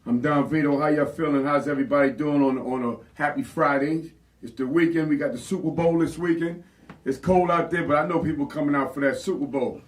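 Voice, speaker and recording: A man's voice: on the high side, steady tone, fairly quick, distant mic. A man co-hosting a podcast, casual and hyped, chiming in with lots of affirmations. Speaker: podcast co-host. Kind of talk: casual interview chat.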